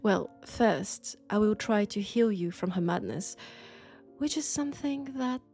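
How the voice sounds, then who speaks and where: A woman with a French accent playing an elegant, condescending vampire scholar in an intimate roleplay audio drama, speaking deliberately and seductively. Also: mid-range voice, animated, gravelly. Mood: intrigued, persuasive. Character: elegant, sophisticated, coolly curious female vampire scholar. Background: faint dark ambient music.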